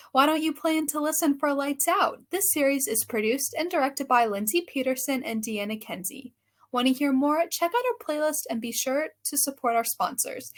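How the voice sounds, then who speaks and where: A woman announces for a radio drama. Her voice is high in pitch. She delivers scripted closing copy in an announcer's presenting style. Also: a bit bright. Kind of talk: scripted radio show sign-off.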